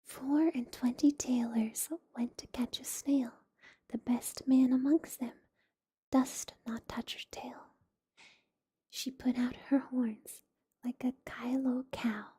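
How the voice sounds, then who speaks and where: A woman's voice: a little high, warm tone, breathy edge, full character voice. A woman whispering as a chorus of cheeky little mice. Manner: sing-song nursery rhyme chant. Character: tiny singing mice, gleeful and cheeky.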